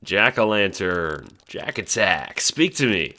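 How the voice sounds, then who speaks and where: A man playing a cocky, slangy gamer bro, lively. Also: low-pitched, slow, slight background noise, full character voice. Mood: playful, upbeat. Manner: jokey, rhyming buddy slang. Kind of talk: audio drama acting.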